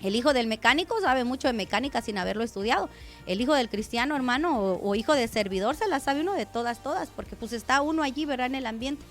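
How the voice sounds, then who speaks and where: A woman's voice: fairly high pitch, lively intonation, fast talker, faint music behind. A woman pastor giving a conversational radio sermon, often addressing listeners as brother.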